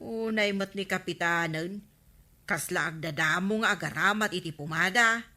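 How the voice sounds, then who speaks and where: A bright, cutting female voice as an exasperated village councilor minding a shop. The character scolds and grumbles with a short temper, in the broad manner of a comedy radio drama. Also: lowish voice, normal speed. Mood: brisk. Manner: scolding, complaining.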